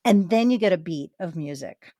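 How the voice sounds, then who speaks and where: A woman's voice: on the low side, expressive, normal speed, warm tone, no echo, studio quality. A woman hosting a podcast interview, enthusiastic and conversational, asking about craft. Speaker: podcast host. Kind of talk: casual interview chat.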